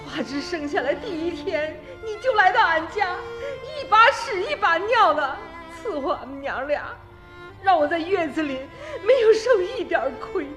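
An actress playing a warm-hearted, dutiful young village wife in a radio drama, earnest and full of feeling. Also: female voice, extremely high pitch, very animated, moderate pace, over music. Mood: moved, pleading. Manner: earnest, emotional. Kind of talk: Chinese radio drama acting.

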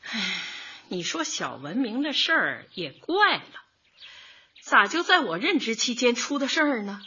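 A forthright middle-aged woman from a radio comedy, a neighbourhood dance leader with a Northeastern Chinese accent. Her voice is high and rough, swinging animatedly through folksy exclamations. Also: very animated, a little slow, pushed and bright, no room sound, dull audio, exaggerated voice. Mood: indignant, defiant. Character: bold, hearty middle-aged Northeastern neighbourhood woman leading square dancing. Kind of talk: radio comedy drama acting.

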